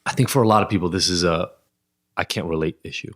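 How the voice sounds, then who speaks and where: A man in his forties, from Israel, hosting a casual interview and working through questions with pauses and restarts. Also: sits low, fairly bright tone. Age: 40s. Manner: thoughtful, searching questions with self-interruptions. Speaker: podcast host.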